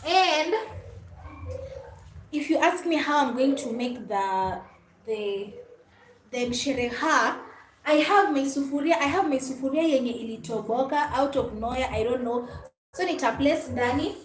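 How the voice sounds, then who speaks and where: High-pitched Kenyan woman chatting in an echoey room. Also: unhurried. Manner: friendly, mixes English and Swahili, often thanks and greets viewers. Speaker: livestream host. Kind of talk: casual livestream chat. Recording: echoey room with faint background noise.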